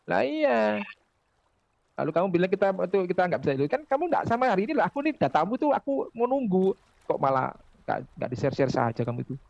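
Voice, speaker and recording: A man's voice: high-pitched, sing-song, fast, gravelly, darkish tone. A man in a heated online debate, combative and demanding evidence. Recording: dry lo-fi audio.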